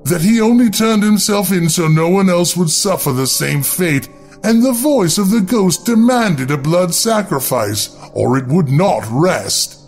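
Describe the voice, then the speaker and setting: A man's voice: high voice, animated, a little slow, darkish tone. Man, dramatic horror narration, very varied intonation, music behind. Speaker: storyteller, podcast narrator. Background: background music.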